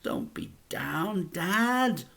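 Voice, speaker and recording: A man's voice: high-pitched, expressive, drawn-out words, gravelly, sharp tone, voice pushed up, heavily acted. A man doing an earnest young boy. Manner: earnest, pleading. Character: hopeful eleven-year-old boy comforting his father.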